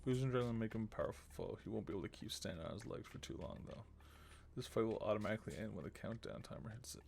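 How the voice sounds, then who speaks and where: A man's voice: on the low side, racing, gravelly, darkish tone, slight background noise. A man giving casual let's play commentary, muttering his decisions and reading game text aloud as he goes. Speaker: video game streamer. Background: game music.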